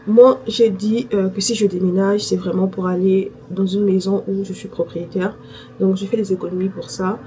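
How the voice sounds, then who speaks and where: A woman's voice: mid-range voice, fairly even intonation, normal speed, warm tone. Young female vlogger, chatty, talks to herself as she goes, noisy phone recording. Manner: chatty, thinking aloud with fillers. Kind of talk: casual vlog chat to camera.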